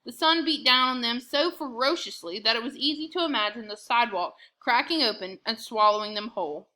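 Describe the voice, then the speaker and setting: A woman reads a children's chapter book aloud as a storyteller. Her voice sits a little high and has a very bright, forward edge. The delivery is expressive and clearly scripted. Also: fairly quick. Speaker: read-aloud narrator.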